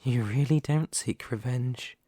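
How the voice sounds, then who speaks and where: A man playing a dominant, gloating, slightly unhinged demon lord in a fantasy ASMR roleplay, taunting and menacing with drawn-out words. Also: mid-range voice, warm tone, breathy edge, no echo, studio quality. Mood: coaxing, persuasive.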